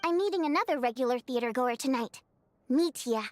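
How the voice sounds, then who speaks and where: An actress voicing an upbeat young woman who leads an underground group in a video game, speaking briskly and confidently as she lays out a plan. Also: sits high, animated. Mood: scheming. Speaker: game character. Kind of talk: voiced video game dialogue.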